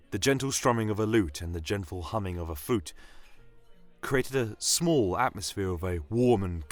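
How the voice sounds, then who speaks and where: A man telling a first-person fantasy story in measured, formal, scripted narration. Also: sits low, fairly bright tone, dry recording. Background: music.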